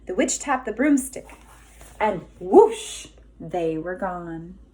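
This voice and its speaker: A woman kindergarten teacher reading a children's storybook aloud expressively, deliberate and emphatic, pausing for questions. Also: fairly low pitch, lively intonation, warm tone.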